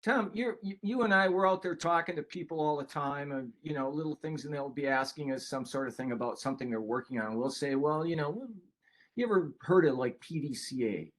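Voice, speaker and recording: A man's voice: on the high side, lively intonation, a little fast. An older man presenting a webinar in a casual, conversational way, with a folksy, rambling manner that often interrupts itself. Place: online video call.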